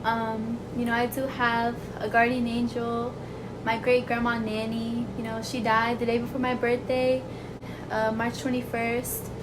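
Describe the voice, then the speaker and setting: Young woman vlogger, soft and childlike, a bit high, earnest and rambling. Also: flat delivery, unhurried, noisy background. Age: young adult. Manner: earnest, rambling, full of "like" and "you know". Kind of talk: heartfelt personal talk to camera.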